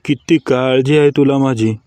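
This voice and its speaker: A man's voice: mid-range voice, fairly even intonation, moderate pace, darkish tone, dry recording, close to an everyday voice. A man playing a sarcastic, teasing husband in scripted comedy cartoon skits. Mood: dry, teasing.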